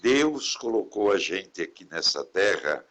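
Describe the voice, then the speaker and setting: A man, a priest talking in a relaxed live conversation. His voice sits low and has a husky edge, yet it comes across bright and forceful. He speaks reflectively, drifting into gentle sermon-like remarks. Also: unhurried. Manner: warm, reflective, preachy asides about caring for others. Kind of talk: casual conversation in a live stream.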